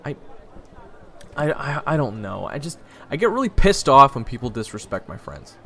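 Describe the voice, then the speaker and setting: Man playing an earnest, flustered guy with a creaky voice. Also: mid-range voice, big pitch swings, sharp tone, faint music behind. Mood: sheepish, flustered. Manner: casual, halting, earnest. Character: earnest, loyal, hot-headed human man, easily flustered companion. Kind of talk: audio roleplay drama with first-person narration.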